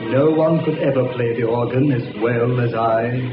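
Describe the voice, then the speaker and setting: An actor playing a grandiose, stately ghost in an old radio horror play, intoning slowly and grandly. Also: male voice, mid-range voice, roomy sound, highs cut off, music in the background, natural voice. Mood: boastful. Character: grandiose male ghost, stately, boastful, coolly welcoming.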